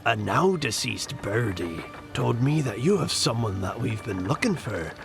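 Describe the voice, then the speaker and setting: A man's voice: medium pitch, big pitch swings, unhurried, vocal fry, music in the background. A man playing a cocky pirate captain who drawls and taunts in an audio adventure skit. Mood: mocking. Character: cocky, mocking male pirate captain, rival brother.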